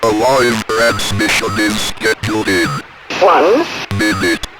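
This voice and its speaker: An automated machine voice reading out transmission notices in a cold, impersonal, halting robotic manner. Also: medium pitch, over loud music. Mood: impersonal, emotionless. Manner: flat, halting, robotic phrasing. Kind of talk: automated scripted announcement.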